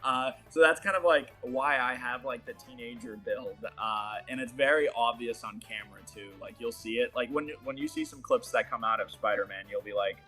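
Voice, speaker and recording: A man's voice: medium pitch, animated, fairly quick, hardly any pauses, sharp tone, faint music behind. A man from the Boston area whose first language is Portuguese, chatting casually as an interview guest, rambling with lots of fillers and laughs. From Boston area, USA.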